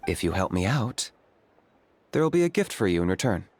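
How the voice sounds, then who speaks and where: An actor playing a reserved, self-reliant young hunter for hire in a video game, speaking in a measured, businesslike way. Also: male voice, low voice, sing-song, noisy background. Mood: calm, businesslike. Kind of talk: scripted video game dialogue.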